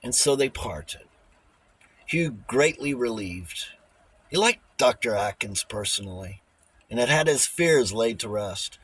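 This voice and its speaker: Male audiobook reader, husky voice, medium pitch, scripted novel narration. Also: expressive, unhurried, a bit bright.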